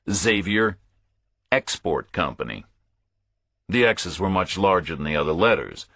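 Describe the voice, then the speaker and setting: Low, bright-voiced man narrating a thriller. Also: slightly rough, no room sound. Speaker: audiobook narrator. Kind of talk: scripted first-person thriller narration.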